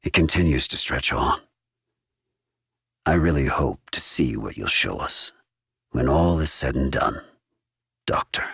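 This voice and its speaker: Very deep, gravelly solemn game warrior. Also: male voice, expressive, pushed and bright, dry recording, clean studio sound, full character voice. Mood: solemn, hopeful. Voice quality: husky, rough. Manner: slow, solemn. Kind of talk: video game character voice acting.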